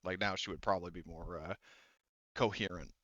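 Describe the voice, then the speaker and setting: A man narrating a tabletop game as game master, casual, improvised and rambling, with halting delivery. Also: low voice, steady tone, a bit bright, no echo, lo-fi sound.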